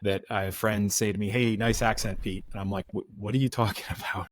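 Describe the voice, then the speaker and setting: A man's voice: lowish voice, a little fast, slight background noise. A middle-aged man with an American accent, telling stories from his career as a guest in a casual interview, often restarting his sentences. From United States. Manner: relaxed storyteller, frequent restarts. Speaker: podcast guest.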